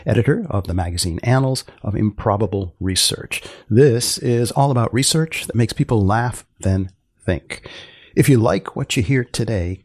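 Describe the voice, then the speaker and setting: A man hosting a podcast, asking questions in a dry, measured way. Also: mid-range voice, no room sound. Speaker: podcast host. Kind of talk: podcast interview.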